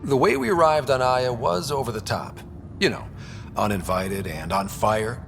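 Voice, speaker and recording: Easygoing young space explorer in echoing hall. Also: male voice, expressive, moderate pace, gravelly, music in the background. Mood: warm, casual. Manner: casual, wry. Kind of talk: video game dialogue. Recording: noisy, echoing hall, ambient rumble.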